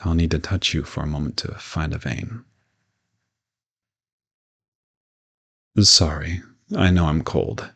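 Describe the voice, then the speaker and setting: A man playing a gentle, courteous old vampire in an intimate audio roleplay, reassuring and lightly teasing as he speaks to the listener. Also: a bass voice, normal speed, gravelly, breathy edge, exaggerated voice. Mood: gentle, soothing. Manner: soft-spoken reassurance, politely formal with teasing asides.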